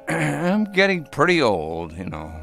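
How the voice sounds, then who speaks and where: A man's voice: fairly high pitch, wildly swooping pitch, creaky, a bit bright, soft background music, heavily acted. An actor playing Ben, a kindly, hesitant old man who dotes on a little girl, in a radio drama. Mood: fond. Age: older. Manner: slow, hesitant, fond.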